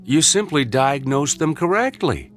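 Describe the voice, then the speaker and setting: Skeptical, sardonic male doctor, very animated delivery, radio drama with faint music. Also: on the high side, a little slow. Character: skeptical, dryly sarcastic senior male clinic doctor.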